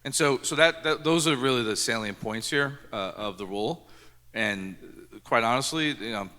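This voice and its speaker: A man's voice: medium pitch, normal speed, pushed and bright. A man speaking on a conference panel as a former security chief, earnest and often repeating words as he thinks. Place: conference hall. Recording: echoey conference hall.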